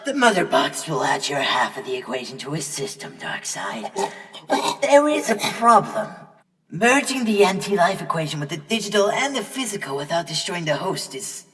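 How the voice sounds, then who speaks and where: A man's voice: on the high side, sing-song, normal speed. A man voicing a fawning, sinister servant of an evil god, theatrical and reverent, in a comic book fan dub. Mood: fawning reverence. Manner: theatrical, reverent, swinging from hush to cry. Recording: long hall reverb, distant.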